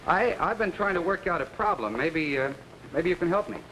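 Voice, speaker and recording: A man's voice: on the high side, expressive, brisk, lightly acted. An actor playing a persistent amateur detective in an early 1950s TV mystery, hesitant at first, then pointed in his questioning. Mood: tentative. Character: persistent, probing amateur detective, son of a policeman. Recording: noisy, clicking 1950s TV soundtrack.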